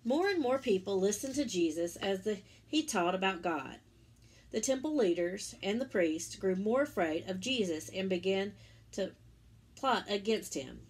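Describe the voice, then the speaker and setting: Female story-time teacher, medium pitch, a touch of rasp, reads a children's religious book and explains things simply along the way. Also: animated, moderate pace, darkish tone. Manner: simple explanatory asides addressed to young listeners. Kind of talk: read-aloud of a children's religious picture book with asides.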